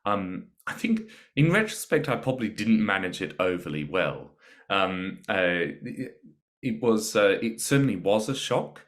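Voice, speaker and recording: A man with a slight British accent, speaking as a guest on a podcast about teaching teenagers, measured and reflective with frequent pauses. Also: fairly low pitch, big pitch swings. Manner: measured, reflective, pausing often. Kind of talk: podcast interview.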